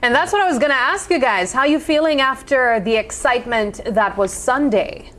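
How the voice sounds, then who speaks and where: A woman's voice: high-pitched, moderate pace, fairly bright tone. A woman hosting a studio interview on a morning TV show, welcoming her guests.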